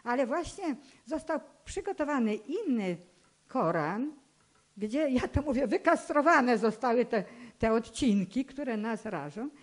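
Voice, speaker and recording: An elderly woman in her eighties speaks in a somewhat high voice. She gives a personal testimony to a hall through a stand microphone. Her manner is earnest and admonishing, and she repeats phrases deliberately to press her point. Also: big pitch swings. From Poland. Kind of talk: public talk, personal testimony. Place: indoor hall with stage and seated audience. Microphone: microphone on a stand, close to the mouth.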